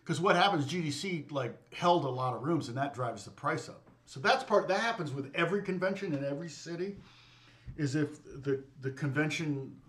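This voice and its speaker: A man's voice: fairly high pitch, animated, fairly bright tone, slight background noise. A middle-aged man, a guitar teacher, chatting casually and rambling with his live viewers, often stopping and restarting his sentences. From United States. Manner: rambling, self-interrupting, quick to laugh at himself. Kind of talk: casual livestream chat.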